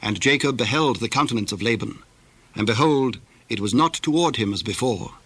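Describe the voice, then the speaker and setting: Male narrator for Bible drama: formal, measured, medium pitch, very little room echo. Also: fairly bright tone, husky.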